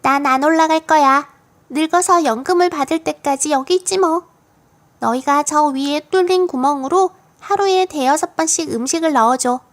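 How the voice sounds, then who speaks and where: A woman's voice: high-pitched, moderate pace. A woman voicing a cheeky, confident little girl full of fanciful tales in a children's storybook audiobook. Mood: curious, carefree. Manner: matter-of-fact, playful tall-tale telling.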